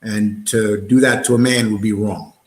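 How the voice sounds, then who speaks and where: A man's voice: on the low side, fairly even intonation, normal speed, a little mellow. A man hosting a casual livestream, talking in a blunt, combative, streetwise way. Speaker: show host. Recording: roomy, echoey sound.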